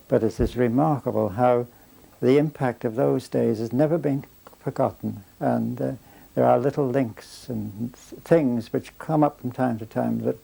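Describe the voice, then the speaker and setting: A man speaking in a measured, rather formal way. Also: medium pitch, gravelly, dark tone, poor audio.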